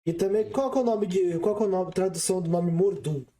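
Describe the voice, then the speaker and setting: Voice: mid-range voice, fast talker, mellow. A Brazilian podcast co-host in a casual film discussion, chipping in briefly with details.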